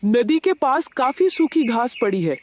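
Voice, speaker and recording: A woman's voice: sits high, very animated, a little slow, a little mellow, dry recording. A woman voicing a strict, no-nonsense mother bird in a children's cartoon, instructing and scolding. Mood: brisk. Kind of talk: Hindi children's cartoon dub.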